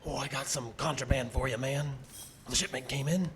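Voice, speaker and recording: Furtive prison smuggler amid loud noise, male actor. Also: mid-range voice, fairly even intonation, brisk, natural voice. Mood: furtive, wary. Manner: hesitant, casual, slangy. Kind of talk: horror audio drama dialogue.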